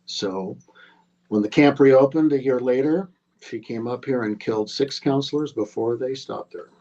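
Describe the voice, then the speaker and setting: A man's voice: medium pitch, normal speed, mellow, dull audio, close to an everyday voice. A man playing a young camp counselor casually telling a murder legend in a live horror script read. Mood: casual, eerie. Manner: casual, teasing storyteller.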